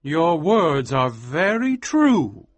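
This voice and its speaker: Man doing a high-strung scientist husband, higher and lively voice, slow intense delivery, dry sound. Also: no room sound. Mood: earnest tender. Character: anxious obsessive male scientist husband.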